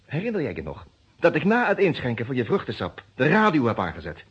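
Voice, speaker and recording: An actor playing an agitated, indignant lawyer suspected of murder in a vintage radio play, husky and emphatic, with a Netherlands Dutch accent. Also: male voice, medium pitch, fast, exaggerated voice. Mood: excited, pleading. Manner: excitable, emphatic, repeats words. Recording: dull, muffled old radio recording.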